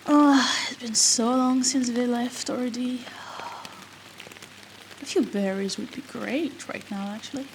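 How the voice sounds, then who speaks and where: Woman doing a cheeky bratty rogue girl for fantasy roleplay, highish voice, sarcastic and sweary, faint rain behind her. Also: fairly even intonation, a little slow, slight background noise. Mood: wistful grumbling. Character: bratty, teasing, foul-mouthed female rogue adventurer. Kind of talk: fantasy audio roleplay, addressing the listener.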